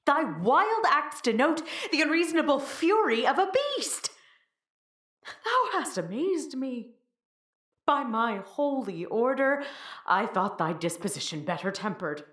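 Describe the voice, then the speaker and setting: A woman's voice: high-pitched, a bit bright, heavily acted. A woman playing a stern, fatherly friar in classical Shakespearean stage style, declaiming emphatically. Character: stern, fatherly friar scolding and counselling, male role. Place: indoor performance space. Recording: echoey stage recording.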